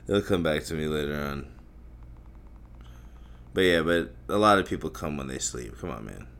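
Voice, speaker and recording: A man's voice: low voice, creaky, a bit bright. A young man hosting a solo podcast, rambling in a crude, profane and self-mocking way that often loses its thread. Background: faint noise from outside.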